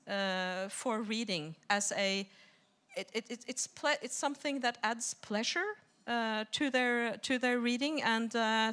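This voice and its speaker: A woman's voice: on the high side, fairly bright tone. A woman researcher speaking off the cuff as an expert on a panel, repeating words as she reasons aloud. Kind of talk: spontaneous expert panel discussion.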